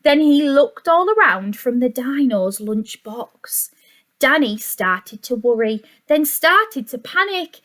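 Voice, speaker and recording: Female storyteller for young children: high pitch, unhurried delivery, expressive and sing-song, teacherly asides. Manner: expressive, deliberate, sing-song, with gasps and questions to listeners. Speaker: teacher reading a picture book to young children. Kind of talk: animated children's story reading with chatty asides.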